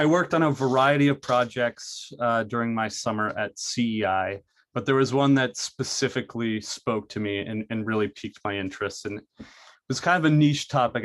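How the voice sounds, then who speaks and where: Earnest young man presenting, many restarts. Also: mid-range voice. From Maine. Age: young adult. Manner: earnest, frequent fillers and restarts. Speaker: college student presenter. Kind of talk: prepared presentation.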